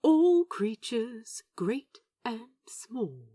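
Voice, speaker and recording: Fussy, gentle docent, middle-aged man, played by a woman: very slow, soft-edged, fretful, audio-story character. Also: fairly high pitch, sing-song, heavily acted. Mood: fond.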